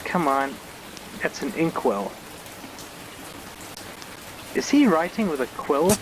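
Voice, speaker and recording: A man's voice: on the high side, big pitch swings, takes it slowly. A man giving easygoing gameplay commentary, thinking aloud and reading bits of text as he plays. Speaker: game reviewer and player. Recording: very noisy, game ambience behind.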